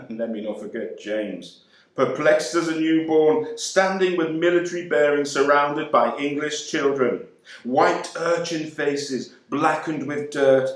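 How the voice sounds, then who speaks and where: A man performing a dramatic literary monologue in a measured, deliberate, emphatic way. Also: a little high. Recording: very echoey room.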